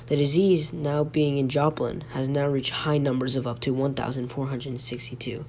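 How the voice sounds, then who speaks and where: There is a faint noisy hum on this home recording. A man acts out an ailing local radio host in an amateur drama, mid-pitched and halting. He keeps dutifully delivering breaking news while sounding unwell. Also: flat delivery, a little mellow, lightly acted. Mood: weary, unwell. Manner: halting, stumbling, breaking off to apologize. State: sick, coughing.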